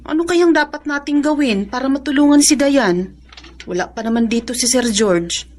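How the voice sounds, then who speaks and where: An actress playing a loyal, plucky housemaid in a radio drama, earnest and anxious, with a Filipino accent. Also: female voice, sits high, normal speed, a little mellow, a little hiss or hum, natural voice. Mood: earnest, resolute. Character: loyal housemaid, plucky, sympathetic to her young mistress.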